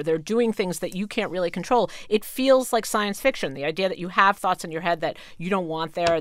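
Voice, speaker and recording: A woman's voice: on the low side, no room sound. A woman talking casually as a podcast host being interviewed, explaining ideas in an informal, wry way and thinking aloud. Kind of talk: animated, conversational explaining.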